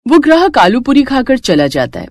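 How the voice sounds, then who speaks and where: High-voiced woman narrating a story. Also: wildly swooping pitch, normal speed, a little mellow, dry recording, studio quality.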